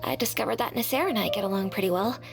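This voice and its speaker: Actress doing plain-spoken game townswoman, medium pitch, optimistic, music behind. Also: racing, gravelly, close to an everyday voice. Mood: upbeat. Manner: straightforward. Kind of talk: video game voice acting.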